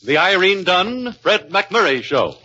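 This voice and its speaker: A man's voice: high voice, sing-song, slow. An American man announcing a 1950s radio comedy in a showy, playful manner. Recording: dull old radio recording, loud music.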